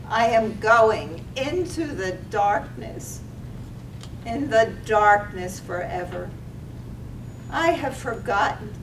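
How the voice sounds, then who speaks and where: A woman playing a tender, wistful dead sweetheart in a reading of a poetic radio drama, plaintive and intimate. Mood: mournful. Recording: roomy, distant mic, faint rumbling noise.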